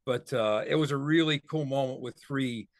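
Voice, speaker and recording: A man's voice: mid-range voice, moderate pace. A man co-hosting a sports podcast, giving conversational analysis and repeating points for emphasis. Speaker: podcast co-host.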